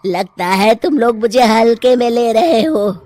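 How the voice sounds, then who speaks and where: Woman voicing female witch ghost, cartoon villain, high-pitched, drawn-out delivery, threatening, gloating. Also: expressive, darkish tone, dry recording. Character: menacing vengeful female witch ghost haunting village weddings. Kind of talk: Hindi cartoon story voice acting.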